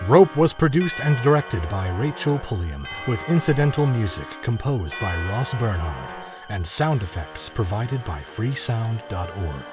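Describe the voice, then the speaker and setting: A male announcer reading closing credits in a formal, even manner. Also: on the low side, unhurried, over music.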